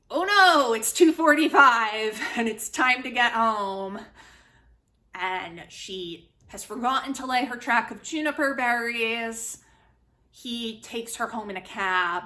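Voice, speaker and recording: A woman giving a casual, chatty spoken book review, retelling and commenting in an animated way. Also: medium pitch. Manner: animated, chatty, acts out quotes informally. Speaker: book reviewer, host of a literary video series.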